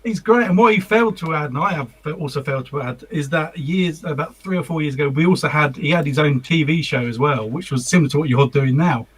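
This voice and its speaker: Male co-host, husky and a touch high, rambling and casual. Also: fast talker, few pauses. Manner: rambling, self-correcting recall. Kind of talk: casual chat.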